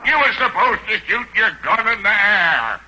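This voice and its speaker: Cowboy stranger, exaggerated, terse, highish pitch, old dull radio comedy recording. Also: male voice, big pitch swings, moderate pace, pushed and bright, a little hiss or hum, full character voice. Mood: indignant. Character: terse cowboy stranger, rival in love, comically indignant. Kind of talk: 1940s radio comedy acting.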